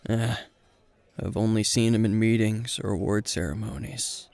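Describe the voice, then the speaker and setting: A man playing a weary, gruff veteran hero and teacher at a bar, terse and dry, in an intimate roleplay spoken to the listener. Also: fairly low pitch, steady tone, normal speed, a little mellow, no echo, natural voice. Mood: dry, relaxing. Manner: terse, guarded, dry, sighing.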